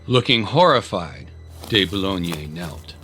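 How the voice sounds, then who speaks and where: A man with a low voice reads dramatic fiction as a narrator. The delivery is scripted storytelling, and music plays clearly underneath. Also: expressive, takes it slowly, fairly bright tone.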